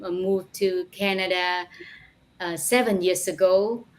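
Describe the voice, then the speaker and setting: A woman in her forties with a Vietnamese accent, speaking English as a second language. She talks slowly and haltingly, with frequent fillers. She sounds like a guest on a video call. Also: lowish voice, fairly even intonation. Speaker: guest business coach. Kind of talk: live online interview.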